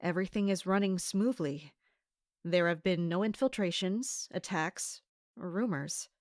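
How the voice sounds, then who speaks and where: Woman acting as a guard captain, dutiful and wary, measured formal delivery, audio drama narration, close dry sound. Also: fairly low pitch, darkish tone, dry recording, close to an everyday voice. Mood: guarded, pensive. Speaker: narrator and lead character. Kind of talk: scripted first-person audio drama narration.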